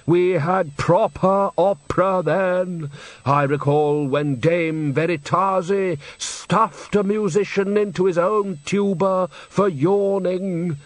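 A man reading aloud and voicing an older male opera director who speaks slowly and wistfully. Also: high-pitched, no echo, voice pushed up. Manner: slow, wistful, nostalgic.

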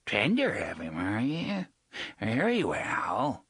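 Un hombre que interpreta a un viejo pirata lascivo y amenazante, que canturrea con jerga anticuada. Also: male voice, lowish voice, wildly swooping pitch, slow pace, a bit bright, breathy edge, no room sound, clean studio sound, full character voice. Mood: menacing, crooning. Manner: crooning, wheedling, with old-fashioned slang. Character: leering old male pirate, menacing and sly.

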